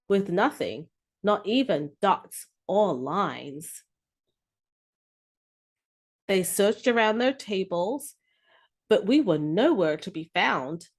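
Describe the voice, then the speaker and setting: A woman reading a children's story aloud with expressive, deliberate phrasing. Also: mid-range voice.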